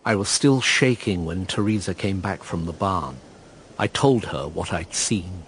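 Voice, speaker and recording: An actor playing a weary, cynical invalid gentleman who narrates his own story in a radio drama, dry and understated. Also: low voice, measured pace, slight background noise. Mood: reflective.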